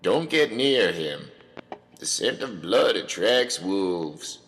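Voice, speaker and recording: A man's voice: low voice, big pitch swings, slow, a bit bright, long reverb tail, heavily acted. A man playing a wary, practical backwoods father in an audio comedy drama, with folksy rural phrasing. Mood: cautious.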